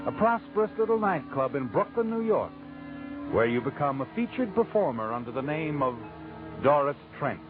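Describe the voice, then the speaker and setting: Ominous taunting narrator of an old radio mystery, fairly high voice, music behind, tinny sound. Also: male voice, very animated, normal speed. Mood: wry storytelling. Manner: ominous, taunting second-person address. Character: sardonic all-knowing male narrator, needling the guilty heroine.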